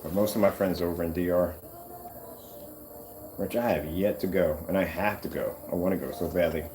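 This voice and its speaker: A middle-aged man chatting casually while working in a workshop, wandering off topic with animated bursts. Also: low voice, a little fast, background noise. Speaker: screen paint maker.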